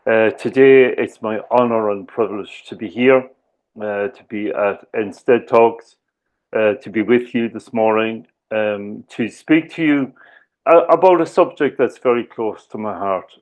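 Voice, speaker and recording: Slow-spoken Irish man telling a story. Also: on the low side, a little mellow, rough recording. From Ireland. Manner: measured, with frequent "uh" and "um". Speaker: speaker giving a talk. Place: lives in the UK.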